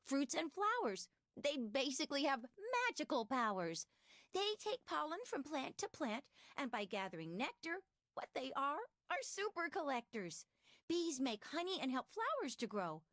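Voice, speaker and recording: Eager, high-voiced cartoon child. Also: female voice, sing-song, moderate pace, a bit bright, no echo, clean studio sound. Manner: instructive, sing-song. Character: bright-eyed cartoon child giving safety and nature tips. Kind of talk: scripted rhyming children's game narration.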